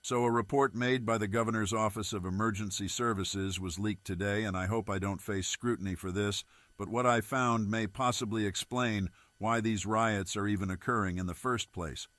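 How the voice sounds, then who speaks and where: Deep-voiced, earnest man reporting , as acted out. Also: fairly even intonation, moderate pace, a bit bright, no room sound, close to an everyday voice. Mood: grave, confiding. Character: unsettled male news reporter revealing a leaked monster report. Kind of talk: scripted spoken report in an amateur disaster drama.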